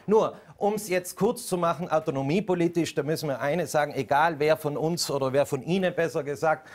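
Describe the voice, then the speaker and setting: A man in his forties argues his case firmly in a televised studio panel, speaking into a lapel mic. His voice sits a bit high for a man. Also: fairly quick. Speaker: election candidate. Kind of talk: TV panel debate answer.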